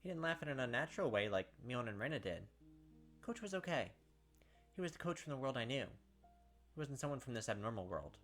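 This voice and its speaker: A man's voice: medium pitch, very animated, brisk, pauses often, a bit bright, soft background music. A man reading visual novel narration aloud as a let's player, breaking off for casual asides.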